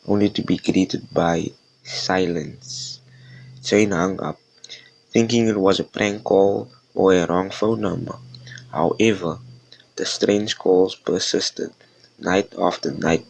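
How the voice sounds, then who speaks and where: A man's voice: on the low side, creaky, dry recording, low-quality recording. A man from Africa hosting a podcast, reading scary stories aloud with casual asides, often stumbling and repeating words. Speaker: podcast host.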